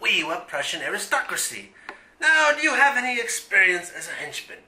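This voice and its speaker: A man's voice: high voice, very animated, brisk, pushed and bright, slight background noise, exaggerated voice. A man playing a pompous, gleefully evil, scheming villain in a parody of a western radio serial. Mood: indignant, pompous. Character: vile scheming melodramatic mustache-twirling male western villain. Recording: roomy echo.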